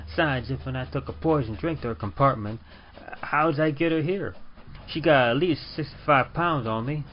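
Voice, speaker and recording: A man voicing an indignant, superstitious older train porter with a folksy, excitable Southern accent in an old-time radio drama. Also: medium pitch, moderate pace, a little hiss or hum, pitched above the performer's own voice, natural voice. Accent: American South. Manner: folksy, dialect-heavy, excitable. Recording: dull lo-fi audio under music.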